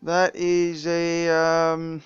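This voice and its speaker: A young man streaming a mobile game, his accent a mix of British and Indian. He rambles through the menus with plenty of ums and restarts, in a smooth, clean voice. The sound is dry but a little dull, like a modest home mic. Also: a little high, monotone, very slow, dry recording. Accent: mixed British and Indian. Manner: rambling, frequent fillers and restarts. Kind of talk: casual live-stream commentary.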